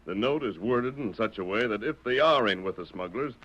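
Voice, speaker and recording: An actor playing a commanding masked hero of the Old West in a radio serial, American, authoritative and clipped. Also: male voice, on the low side, lively intonation, brisk, a bit bright, muffled recording. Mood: steady, instructing. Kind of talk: 1940s radio serial heroic acting. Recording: faintly noisy old radio recording.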